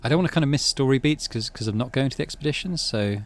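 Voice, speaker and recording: A man's voice: mid-range voice, expressive, fast. A man hosting a gaming playthrough, musing aloud casually and reading game text as he goes. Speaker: gaming channel host. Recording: faint background noise.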